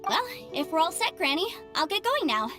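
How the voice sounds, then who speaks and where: A woman's voice: very high voice, sing-song, brisk, music in the background. An actress playing a chatty, rambunctious young female legal adviser in a video game, bubbly and glib. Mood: breezy.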